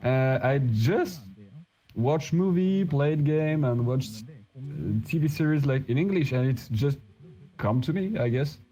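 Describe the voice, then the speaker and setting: A man's voice: a little high, a little slow, warm tone, poor audio. A man from France with a French accent, a native French speaker, chatting casually in English and often searching for words. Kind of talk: casual chat. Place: online VR voice chat. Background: music.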